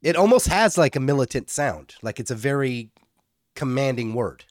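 A man's voice: medium pitch, expressive, moderate pace, no room sound. Laid-back male co-host, dry sound. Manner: laid-back, casual, mild cursing. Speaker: podcast co-host. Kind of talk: casual podcast chat.

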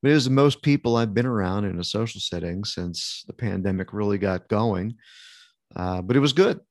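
A man's voice: lowish voice, animated, normal speed, darkish tone, no echo, studio quality. A man hosting a podcast, chatting in a friendly, conversational way and then starting an interview. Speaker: podcast host.

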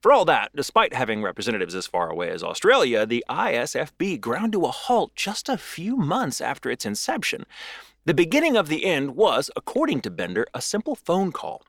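A man's voice: on the high side, wildly swooping pitch, fairly quick, fairly bright tone, no room sound, studio quality. A man hosting a show, telling a paranormal history in a theatrical, wordy storytelling manner with wry, casual, profane asides. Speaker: podcast host and storyteller.

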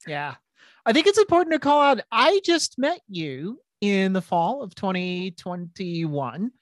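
A middle-aged woman hosting a podcast, talking in an animated, rambling way with laughs and restarts. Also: mid-range voice, unhurried, no room sound. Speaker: podcast host. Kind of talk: casual podcast chat.

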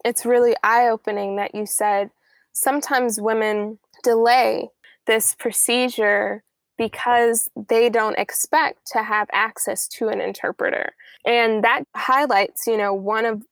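Female podcast host, fairly high voice, very little room sound, for introductions and interviews. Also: steady tone, unhurried, no echo.

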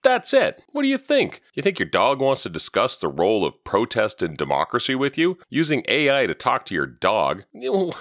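A man's voice: mid-range voice, wildly swooping pitch, normal speed, hardly any pauses, a bit bright, no echo, clean studio sound. A man hosting a solo podcast while recovering from a cold, delivering sardonic, ranting commentary. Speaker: podcast host.

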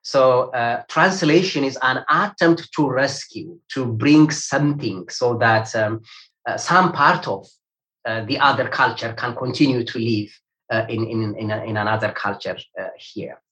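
A man from Ethiopia whose first language is Amharic, speaking with an Ethiopian accent. He reads a poem slowly and with care, then answers questions haltingly, with many "uh" fillers. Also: medium pitch, sharp tone, poor audio. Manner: slow, measured recitation. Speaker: poet and academic guest. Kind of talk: poetry reading then interview answers.

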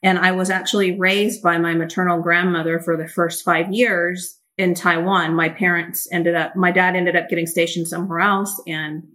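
Candid, blunt woman with a lower voice. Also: flat delivery, normal speed, few pauses, bright, forceful tone, a little hiss or hum. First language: Mandarin. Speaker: guest, company founder. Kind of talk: casual interview storytelling.

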